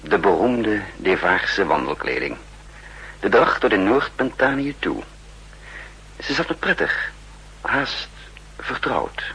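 A suave and wily spy in a 1960s radio drama. His low voice swings widely in melody with a theatrical nonchalance, and it sounds bright over a faintly humming old recording. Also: sing-song, slow pace, long pauses, husky, heavily acted, rough recording. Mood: narrating, watchful. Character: suave, wily male spy posing as rich honeymooner. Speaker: actor and narrator.

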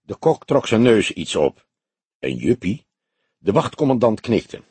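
A man reads story narration for an audiobook. His voice is husky, with lively movement in pitch, and the recording is dry and free of room sound. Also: moderate pace, dry recording.

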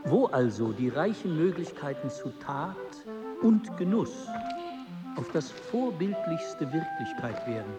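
Slow, lofty man of letters. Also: on the high side, sing-song. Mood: solemn. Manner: slow ornate declaiming. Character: stately man of letters, adult, solemn. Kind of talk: radio play acting. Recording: loud music bed under voice.

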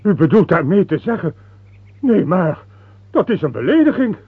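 Affronted royal-court cardinal in radio drama: animated, high, theatrical, dry and narrow muffled recording. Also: male voice, normal speed, breathy edge, dry recording, exaggerated voice. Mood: indignant. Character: indignant, deferential court cardinal. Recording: muffled, phone-like old radio play recording.

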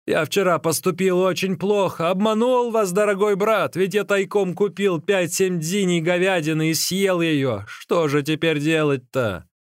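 A man's voice: mid-range voice, monotone, normal speed, hardly any pauses, clear, resonant tone, no echo, studio quality, natural voice. A man voicing a hot-tempered, gullible warrior in a storybook, begging plaintively and exclaiming in desperation. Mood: remorseful wailing. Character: hot-tempered gullible warrior, pleading desperately as his legs run away.

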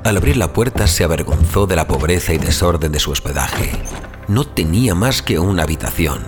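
A man with a deep voice narrates literary fiction. His scripted reading is grave, measured and theatrical. A clear bed of music runs behind the narration. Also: lively intonation. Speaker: audiobook narrator.

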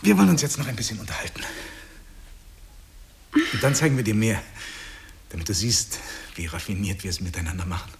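Smug husband with swooping intonation. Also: male voice, lowish voice, animated, fairly quick, gravelly, long pauses, slight background noise. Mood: smug, showing off. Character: smug, boastful husband lecturing his friend. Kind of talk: German stage-play dialogue acting.

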